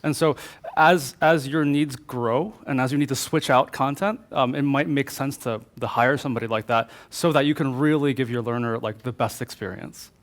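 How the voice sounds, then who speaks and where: A man's voice: mid-range voice, moderate pace. A man co-presenting at a tech conference, answering questions in a conversational way with frequent restarts.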